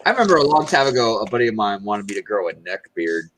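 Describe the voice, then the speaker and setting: A man's voice: lowish voice, very animated, quick pace, fairly bright tone, no room sound, poor audio. A man co-hosting a casual livestream chat, laid-back and saying "dude" and "man" a lot. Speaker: livestream co-host.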